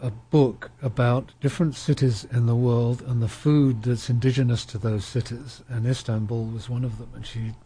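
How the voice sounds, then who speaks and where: A man hosting a conversational interview, his voice mellow and a little husky. He speaks hesitantly, repeating words and restarting his phrases as he thinks. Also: medium pitch, steady tone, moderate pace, hardly any pauses.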